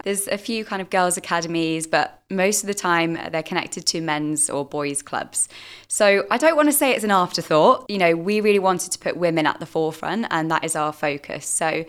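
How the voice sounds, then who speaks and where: A woman's voice: on the low side, clear, resonant tone. A young British woman, a football club co-founder, chatting upbeat on a podcast with a slightly sore, tired voice. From United Kingdom. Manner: upbeat, talkative, promotional. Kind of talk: casual podcast chat.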